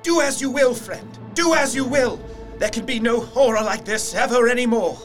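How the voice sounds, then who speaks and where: Man acting an anguished, high-voiced young gentleman. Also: normal speed, faint music behind. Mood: anguished, despairing. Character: grief-stricken young gentleman, anguished friend of the hunter. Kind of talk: gothic audio drama.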